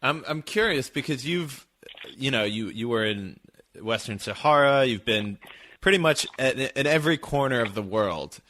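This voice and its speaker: A man hosting an interview, asking questions in a halting way, searching for words and repeating himself. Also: mid-range voice, expressive, bright, forceful tone. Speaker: podcast host.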